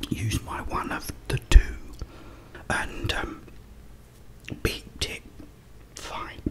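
A man's voice: a bit bright, poor audio. A middle-aged man with a British accent, whispering a rambling, chatty story as an ASMR creator in a dim room, close to the mic. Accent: British English. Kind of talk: whispered ASMR chat while eating. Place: dim indoor room at a table.

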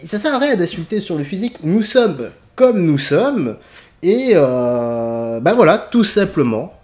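A man's voice: fairly high pitch, big pitch swings, unhurried, darkish tone. A young man giving casual, mocking reaction commentary on news clips from a small room at home, laughing and exclaiming often.

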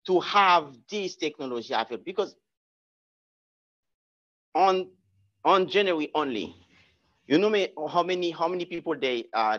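A man giving a guest talk, answering audience questions in a lecture room, halting with many restarts and repeated words. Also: on the high side, a bit bright, muffled recording. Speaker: guest speaker.

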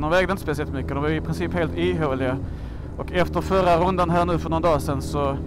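Higher-pitched man vlogging over loud road noise. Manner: rambling, jokey, with playful slang asides. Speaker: motorcycle vlogger and host. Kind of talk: casual chat while riding. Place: outdoors, riding a motorcycle on the road.

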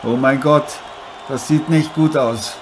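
A man playing an excitable boxer turned commentator, melodramatic and repeating exclamations in improvised parody live commentary. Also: medium pitch, unhurried, a crowd in the background, background noise, close to an everyday voice. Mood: shocked. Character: excitable American boxer Sugar John commentating, theatrically shocked. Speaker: boxing commentator.

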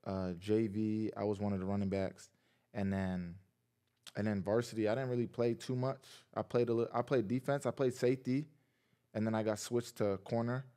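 Young guy from massachusetts on a podcast, low smooth voice, chill and rambling. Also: moderate pace. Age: 20s. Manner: relaxed, laid-back storytelling with frequent "like". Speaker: guest, pro football player. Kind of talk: casual podcast interview. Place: small indoor podcast studio. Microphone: boom mic on arm beside him.

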